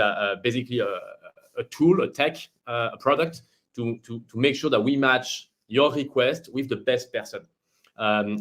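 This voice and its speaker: Man explaining a platform. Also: fairly bright tone. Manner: explanatory, pitching. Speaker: company managing director. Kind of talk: webinar product explanation.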